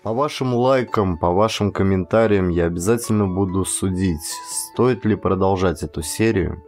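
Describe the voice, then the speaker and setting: A man's voice: deep voice, normal speed, darkish tone, soft background music. A man who works as an audiobook narrator, speaking as the reader and host of the recording. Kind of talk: literary audiobook narration and channel outro.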